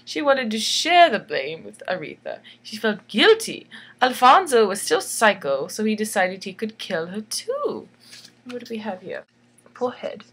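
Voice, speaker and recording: A woman's voice: medium pitch, big pitch swings, a little slow, fairly bright tone, slightly rough. A woman reading a bedtime story in a theatrical, tongue-in-cheek way, giggly and chatty, repeating phrases for emphasis. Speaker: storyteller and illustrator.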